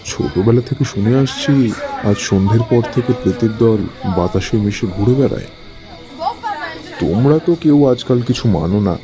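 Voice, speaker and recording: A man's voice: medium pitch, animated, normal speed, music in the background, close to an everyday voice. A man playing a grumbling, superstitious old villager in an audio story, grave and chiding toward the young. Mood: grave, reproachful.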